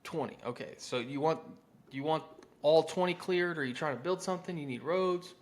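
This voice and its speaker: A man's voice: on the high side, a little fast. A man with an American accent coaching contractors in an informal, conversational, salesy way, mixing instruction with sample phone-script lines.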